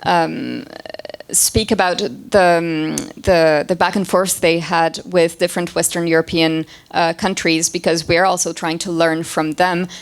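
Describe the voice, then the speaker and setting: A woman's voice: fairly low pitch, fairly even intonation, a little slow. A woman answering on a discussion panel, hesitant, with many ums and light laughs. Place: event hall with live audience.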